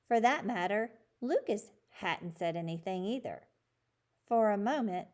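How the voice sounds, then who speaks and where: A woman's voice: mid-range voice, mellow. A woman reading a children's chapter book aloud as a storyteller.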